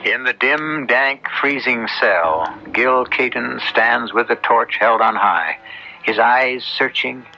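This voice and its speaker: A man hosting and narrating an old radio drama in a solemn, theatrical style with deliberate phrasing. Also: mid-range voice, creaky, bright, forceful tone. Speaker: host and narrator. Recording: dull old radio broadcast with music.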